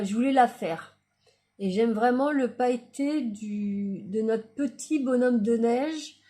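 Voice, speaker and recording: A woman talking casually through a card-making tutorial, chatty and hesitant, often trailing off with fillers as she works. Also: fairly high pitch. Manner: quiet, murmured while working on the craft. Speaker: crafting YouTuber.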